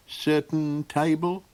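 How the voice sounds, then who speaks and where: A man voicing an excitable, irritable little old fantasy creature in a high, quavering, hesitant voice for a storybook. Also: no echo. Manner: hesitant, sputtering with uhs.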